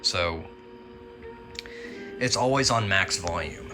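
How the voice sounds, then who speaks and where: A man's voice: sits low, expressive, slow, over music. A man giving casual, informal commentary on a repair as a radio restorer, thinking aloud as he works.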